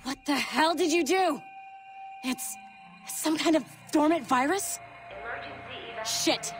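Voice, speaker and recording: A woman's voice: high voice, slow pace, music in the background. An actress playing a blunt, wry female security contractor in a video game cutscene. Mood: brisk. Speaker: game character.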